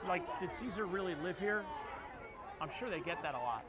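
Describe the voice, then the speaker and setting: A man with a Philadelphia accent calling a lacrosse game play by play, quick and jokey, shouting on big plays. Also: sits high, lively intonation. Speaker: play-by-play commentator. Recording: outdoor broadcast with loud crowd and noise.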